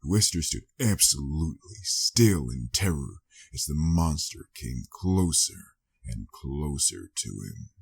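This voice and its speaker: A man's voice: extremely low pitch, very animated, slow pace, vocal fry, slightly rough. A man reading a scripted story as a dramatic audiobook narrator.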